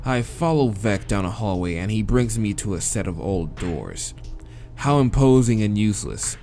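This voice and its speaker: A man's voice: lowish voice, sing-song, normal speed, dark tone, soft background music. A man reading a visual novel aloud as dramatic narration, with casual, exasperated asides.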